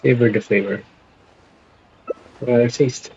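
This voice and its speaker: A man streaming a game, casually reading dialogue aloud in a hesitant, halting way through a band-limited microphone. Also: medium pitch, steady tone, darkish tone, highs cut off. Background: game music.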